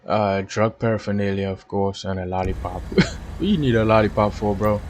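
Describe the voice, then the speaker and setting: A man's voice: fairly low pitch, fairly quick, a little mellow, soft background music. Laid-back Trinidadian man streaming. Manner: casual, chatty, laughs often. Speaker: gaming streamer. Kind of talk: live game commentary with chat.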